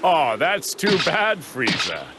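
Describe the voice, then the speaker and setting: A man's voice: fairly high pitch, huge pitch swings, slow pace, background noise, exaggerated voice. A man voicing an arrogant, suave monster villain in an anime video game, with a sneering, taunting drawl. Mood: smug, mocking. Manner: taunting, self-assured drawl. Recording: loud music behind the voice.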